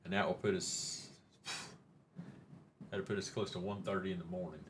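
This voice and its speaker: A man's voice: low-pitched, fairly even intonation, normal speed, slight background noise. A middle-aged man, hosting a rambling, joking, mildly profane live-stream chat. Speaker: livestream host.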